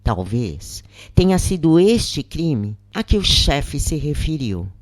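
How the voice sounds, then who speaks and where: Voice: medium pitch, very animated, takes it slowly, no room sound, studio quality. A narrator reading a scripted first-person story aloud.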